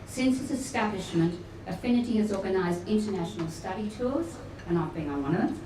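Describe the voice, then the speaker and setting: A woman welcoming guests at a luncheon, formal but with warm chatty asides. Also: mid-range voice, expressive, a little fast. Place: function room at a luncheon event. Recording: echoey room, distant mic, noisy.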